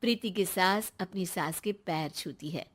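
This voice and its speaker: A woman's voice: lowish voice, measured pace. A woman giving scripted narration as the storyteller of a family moral story.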